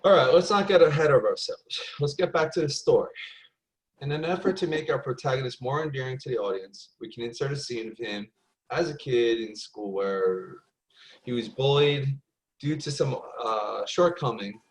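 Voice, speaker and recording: Man acting an earnest mid-pitched film producer. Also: normal speed, natural voice, poor audio. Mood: businesslike. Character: earnest male film producer steering the story meeting. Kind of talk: table read acting.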